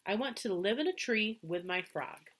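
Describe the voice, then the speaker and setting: A woman reading aloud, voicing an excitable, boastful young boy. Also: medium pitch, normal speed, pitched above the performer's own voice. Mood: excited. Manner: eager, boastful.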